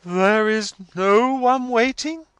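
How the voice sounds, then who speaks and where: A man's voice: extremely high pitch, slow, fairly bright tone, smooth, no echo, voice pushed up, exaggerated voice. A man voicing a pompous, gloomy middle-aged mother in a storybook, declaiming with solemn, stately majesty. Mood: imperious.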